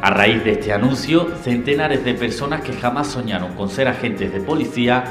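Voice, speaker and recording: A man's voice: medium pitch, normal speed. A man narrating a mock news report in a formal announcer tone. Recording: echoey with music behind.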